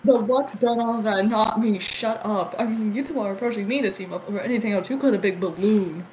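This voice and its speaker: A woman's voice: medium pitch, a little fast, darkish tone. A woman ad-libbing a joking cartoon fandub in a casual, irreverent way. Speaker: amateur fandub voice performer. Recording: noisy amateur home recording.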